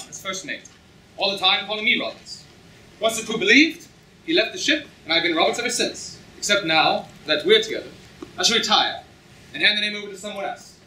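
A man's voice: high-pitched, pushed and bright, exaggerated voice. A young man playing a dashing, witty, defiant pirate hero in an amateur staged reading, with a theatrical delivery. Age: young adult. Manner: theatrical, declamatory. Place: lecture hall stage. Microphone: far camera. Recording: echoey lecture hall, distant, audience noise.

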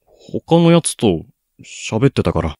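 A man's voice: on the low side, very animated, slow pace, dark tone, no room sound, studio quality, full character voice. A young man playing a blunt, sulky, bashful teenage schoolboy in a radio drama. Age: young adult. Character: grumpy, bashful lovestruck schoolboy Ryo. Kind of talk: Japanese student radio drama acting.

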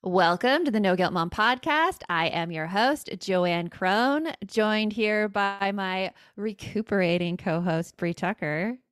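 A woman's voice: medium pitch, animated, slow, few pauses, clean tone, no room sound. Chatty woman podcast host, dry close mic. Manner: chatty and laughing often. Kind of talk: casual chat.

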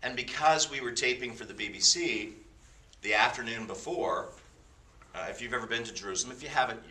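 A man in his fifties gives an informal sermon, his lowish voice ringing very bright and forceful. He drops jokey, self-deprecating asides into his stories. The sound is roomy and picked up from away from the mic. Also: recorded from a distance.

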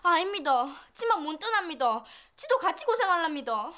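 High-voiced country girl with a Gyeongsang accent. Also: big pitch swings, moderate pace, no room sound, full character voice. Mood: pleading. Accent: Gyeongsang Korean. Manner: plain-spoken, earnest. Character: stubborn, trusting country girl. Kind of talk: children's TV drama acting. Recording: loud music behind.

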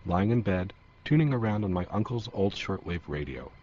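A man's voice: deep voice, animated, moderate pace. A man reading scripted first-person narration for a radio documentary. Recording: dull, lo-fi radio, loud sound beds. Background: loud music and shortwave sounds.

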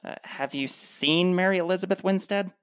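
Jaded girlfriend, actress, deadpan and cutting, recorded close and dry, comic radio play. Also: lowish voice, moderate pace, no echo, close to an everyday voice. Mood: bored. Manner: deadpan, sarcastic. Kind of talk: comedic radio play acting.

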